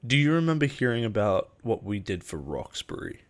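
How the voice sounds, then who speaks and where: A man playing a possessive, persuasive boss in an intimate audio roleplay, speaking in a measured, earnest way with deliberate pauses. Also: on the low side, big pitch swings. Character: possessive, persuasive male CEO boss, smooth and protective. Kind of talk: intimate dramatic roleplay monologue.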